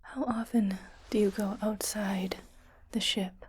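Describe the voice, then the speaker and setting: A woman playing a calm, reassuring ship's doctor in a science fiction ASMR roleplay, speaking in a breathy whisper with a measured, clinical manner. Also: medium pitch. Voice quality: breathy, mostly unvoiced whisper. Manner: slow, measured, clinical and soothing.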